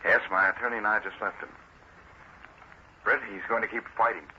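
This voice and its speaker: An actor playing an earnest, troubled politician in an old-time radio drama, speaking formally. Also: male voice, lowish voice, steady tone, a little fast, close to an everyday voice. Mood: earnest, worried. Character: earnest, troubled male politician under accusation. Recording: muffled, noisy vintage radio recording.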